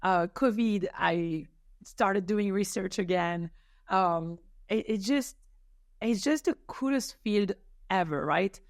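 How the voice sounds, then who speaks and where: A woman's voice: medium pitch, slow, no echo, studio quality. A middle-aged woman from France, a rheumatologist guest, answering enthusiastically with laughs and many restarts. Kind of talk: casual interview answers.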